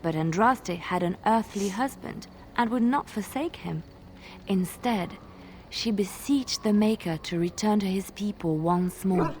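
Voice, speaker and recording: An actress playing an earnest, devout young bard who solemnly tells sacred legends in a fantasy video game. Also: female voice, mid-range voice, unhurried, darkish tone, slight background noise, lightly acted. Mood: solemn storytelling.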